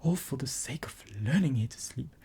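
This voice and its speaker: A woman voicing a gentle male faun who sobs and whispers in guilt. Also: rumbling low, animated, normal speed, breathy edge, exaggerated voice. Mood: pleading. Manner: sobbing, whispery delivery.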